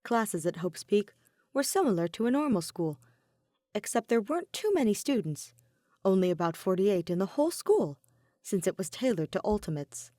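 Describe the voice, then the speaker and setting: A woman reading a fan fiction story aloud as an expressive narrator. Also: medium pitch, normal speed, no room sound, studio quality. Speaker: podfic narrator.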